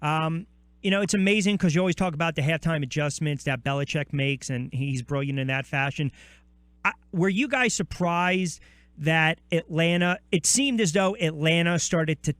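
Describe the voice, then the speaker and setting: A man's voice: a little high, normal speed, fairly bright tone, no echo. A man with an American accent hosting a sports radio interview, chatty, with long rambling questions that restart midway.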